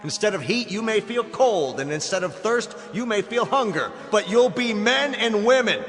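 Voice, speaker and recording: Commanding, high-pitched colonel in reverb. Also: male voice, animated, normal speed, a bit bright. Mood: impassioned. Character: firm, urgent military colonel commanding a rescue spaceship. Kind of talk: dramatic radio drama acting. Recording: long hall-like reverb, music underneath.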